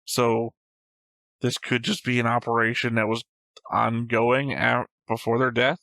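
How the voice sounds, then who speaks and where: A man hosts a casual reaction discussion in a bright, forward voice. His pitch stays fairly level and his delivery is matter-of-fact. The sound is dry, with little room on it. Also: flat delivery, measured pace, creaky, dry recording. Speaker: reaction show host. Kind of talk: casual discussion of an animated series.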